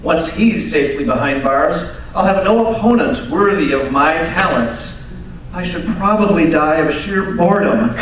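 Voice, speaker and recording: A man's voice: on the high side, unhurried, close to an everyday voice. A man playing a conceited, witty master detective in live old-time radio drama, self-assured and theatrical. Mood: smug, teasing. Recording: echoey hall from far off, faint noise.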